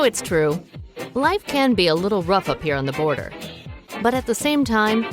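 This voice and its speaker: A woman playing a folksy female rum runner, narrating a yarn for a radio drama. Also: on the low side. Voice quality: wry, conversational storyteller. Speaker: narrator in character. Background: music.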